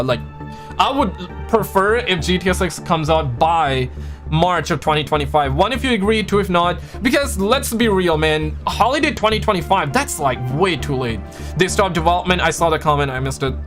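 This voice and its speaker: A man in his twenties chatting casually on a live stream, slangy and stammering, often repeating words and swearing offhand. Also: sits high. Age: 20s. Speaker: streamer reacting to gaming news.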